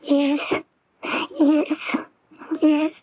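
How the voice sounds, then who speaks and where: A woman's voice: high voice, completely flat delivery, extremely slow, no room sound. A woman playing a fragile, troubled young daughter who fears being left, plaintive and childlike, in a radio drama. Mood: fragile, pleading. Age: young adult. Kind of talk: 1980s radio drama acting. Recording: noisy, dull lo-fi AM radio recording.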